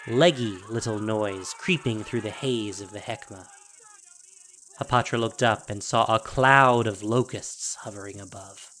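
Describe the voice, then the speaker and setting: A man's voice: medium pitch, a bit bright. Man narrating fantasy drama over faint music.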